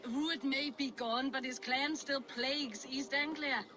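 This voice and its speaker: A woman's voice: high-pitched, steady tone, measured pace, bright, forceful tone. An actress playing a steadfast medieval woman leader in a video game, earnest and assured as she speaks. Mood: rallying. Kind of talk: video game cutscene acting.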